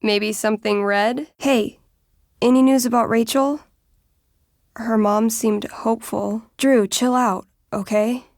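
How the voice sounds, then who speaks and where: A woman's voice: on the high side, steady tone, slow pace, no echo, studio quality. A woman playing a friendly, nerdy teenage girl in a video game, casual and playful, with a game master's flair for drama. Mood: warm, casual. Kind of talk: naturalistic video game voice acting.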